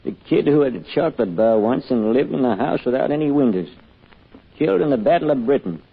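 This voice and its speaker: An actor playing a wry, knowing confidant in an old-time radio drama, with a raspy voice through a thin filter. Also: male voice, medium pitch, animated, brisk. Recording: tinny, band-limited radio sound.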